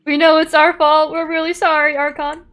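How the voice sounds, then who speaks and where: A woman's voice: high voice, level tone, brisk, fairly bright tone, soft background music. A woman streaming a game, reacting casually in a playful, interjecting way. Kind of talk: casual live playthrough reactions.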